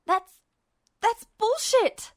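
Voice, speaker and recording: Woman voicing a desperate, defiant young man, high-pitched. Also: lively intonation, drawn-out words, full character voice. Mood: desperate, panicked. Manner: stammering, shaky, forceful outbursts.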